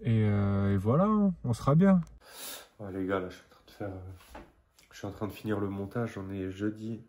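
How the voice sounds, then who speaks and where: A man's voice: fairly low pitch, moderate pace, dark tone, slight background noise. A man recording a casual training diary vlog, chatting and thinking aloud in short bursts. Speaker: amateur triathlete vlogger.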